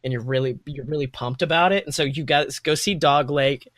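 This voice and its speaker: Casual higher-voiced man running a tabletop game. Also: a little fast, a bit bright, no room sound, clean studio sound. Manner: informal, meandering, slangy storytelling. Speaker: game master. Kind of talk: casual improvised narration of a tabletop roleplaying game.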